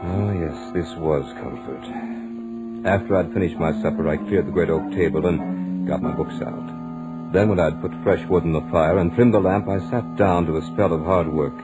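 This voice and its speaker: An actor playing a self-assured, studious young gentleman narrating his own tale in measured, literate 1940s radio drama style. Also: low-pitched, over loud music, close to an everyday voice, rough recording. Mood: composed, amused.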